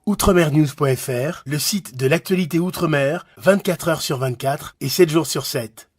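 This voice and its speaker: Mellow, husky male promo announcer. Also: fairly high pitch, moderate pace. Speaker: station ident announcer. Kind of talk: scripted promo narration.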